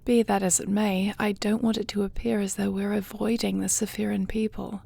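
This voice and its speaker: A woman performs a dragon queen in an audio roleplay, devoted and resolute. Her voice sits at a middling pitch with noticeable creak. She speaks formally and earnestly, with measured phrasing. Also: flat delivery, mellow. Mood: puzzled, concerned.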